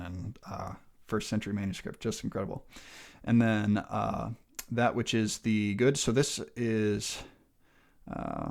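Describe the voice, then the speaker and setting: A man's voice: fairly low pitch, vocal fry, a little mellow. A man walking viewers through a manuscript study on screen, thinking aloud with frequent ums and restarts. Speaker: channel host teaching a manuscript study. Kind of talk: casual screen-share walkthrough.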